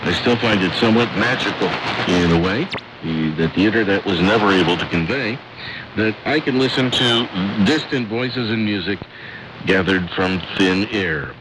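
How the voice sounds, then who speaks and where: An American man hosting a shortwave radio programme, reading listener letters and announcements with clear enunciation. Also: lowish voice, moderate pace, background noise. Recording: shortwave radio broadcast with music.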